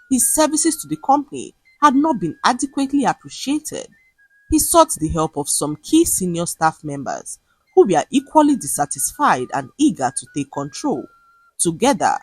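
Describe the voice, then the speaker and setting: A woman tells a dramatic story in a fairly low voice with a husky edge. Her scripted narration rises and falls in a very animated way. The recording is dry, with music playing behind her. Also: no room sound, rough recording.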